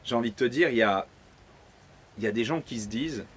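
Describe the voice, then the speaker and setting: A man hosting a casual interview, talking in a relaxed, chatty way with loose phrasing. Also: mid-range voice, fast. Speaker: interviewer and host. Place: outdoors by a pool.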